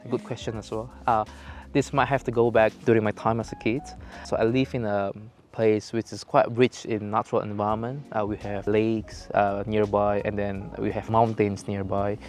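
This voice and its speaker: Hesitant young man. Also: fairly low pitch. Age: young adult. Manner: hesitant, many fillers, relaxed. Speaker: intern interviewee. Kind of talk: casual interview answers. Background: faint music.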